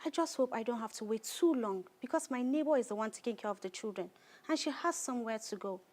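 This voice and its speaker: A woman's voice: a little high, animated, fast talker, a little mellow, natural voice. A woman with a Nigerian accent playing a harried but determined thirty-year-old mother in a radio drama, earnest and plain-spoken. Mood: worried, impatient. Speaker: clinic client in an educational radio play.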